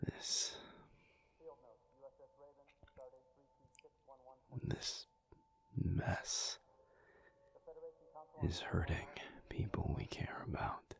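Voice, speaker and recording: Low, slow, whispering alpha werewolf played by a man. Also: fairly even intonation, full character voice. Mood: troubled, pensive. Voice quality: hushed, breathy whisper. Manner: slow, halting, pensive. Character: weary, troubled alpha werewolf pack leader confiding in his partner. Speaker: audio roleplay performer. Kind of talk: intimate audio roleplay monologue.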